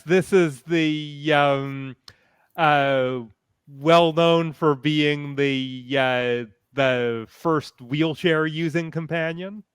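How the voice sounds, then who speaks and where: A man speaking as a panelist in an informal online fan discussion, explaining things in a measured, informative way. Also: fairly high pitch, clean tone, no echo, clean studio sound. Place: indoors, online panel call.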